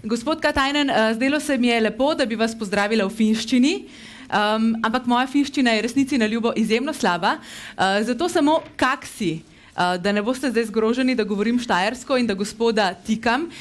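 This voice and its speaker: A woman's voice: a little high, a little fast. A woman moderating a public debate, introducing a guest in a friendly, chatty way with many hesitations. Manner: friendly, chatty, filler-heavy.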